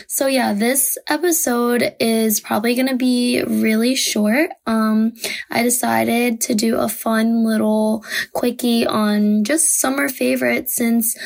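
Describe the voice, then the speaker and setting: Young woman podcast host, hoarse with a cold, raspy and gravelly with a slightly high pitch, rambling and chatty. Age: young adult. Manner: chatty, many fillers and "like". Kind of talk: casual solo podcast chat.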